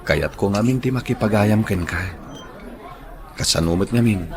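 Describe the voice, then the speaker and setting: A man acting a shy, earnest young office worker in love with a coworker, soft-spoken, in a radio drama. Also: fairly low pitch, normal speed, a crowd in the background, close to an everyday voice.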